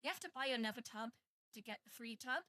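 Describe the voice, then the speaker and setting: A woman's voice: fairly high pitch, expressive, fast talker, bright, forceful tone, dry recording, clean studio sound. A young British woman with a British accent, chatting casually and joking with viewers on a live stream, swearing freely. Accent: British English. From Britain, half Welsh and half English.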